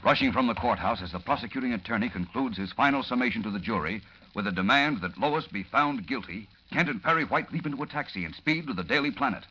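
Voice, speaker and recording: A man's voice: medium pitch, expressive, quick pace, sharp tone. An actor narrating as an urgent, dramatic male announcer in declamatory 1940s radio serial style. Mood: urgent, suspenseful. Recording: dull, faintly noisy old radio recording.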